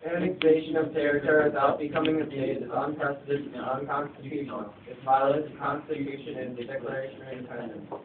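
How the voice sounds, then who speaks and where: A man's voice: medium pitch, quick pace, few pauses, a crowd in the background, natural voice. A man playing a stern political objector in an amateur puppet show, reading out his objections in a declarative way. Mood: flat, stern. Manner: flat, declarative. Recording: tinny phone recording, distant, echoey.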